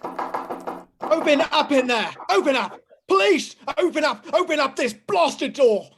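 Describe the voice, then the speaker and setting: A woman playing a gruff, commanding police sergeant in a 1950s radio play, full of cop slang. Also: sits high, animated, unhurried. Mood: shouting, commanding.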